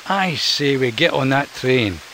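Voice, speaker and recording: A man's voice: medium pitch, lively intonation, unhurried, bright, forceful tone, a little hiss or hum, pitched above the performer's own voice. A man voicing a bossy, cautious young male raccoon who talks in an urgent, coaxing way, in a storybook reading. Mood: persuasive.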